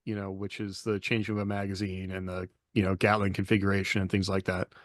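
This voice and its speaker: A man's voice: low voice, flat delivery, quick pace, no room sound, studio quality. A man moderating a casual panel discussion, musing in loosely strung phrases and putting questions to the others.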